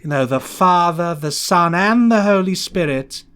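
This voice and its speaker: A man putting on a solemn vicar, reciting a blessing in a slow, measured way. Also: sits high, animated, a bit bright, clear, resonant tone, pitched above the performer's own voice.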